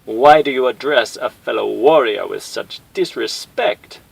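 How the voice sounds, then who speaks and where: A man voicing a menacing warlord general who draws out his threats slowly and coldly. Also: mid-range voice, animated, gravelly, breathy edge, voice pushed up, full character voice, rough recording. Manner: slow, drawn-out threats. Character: menacing male warlord general, cold and commanding.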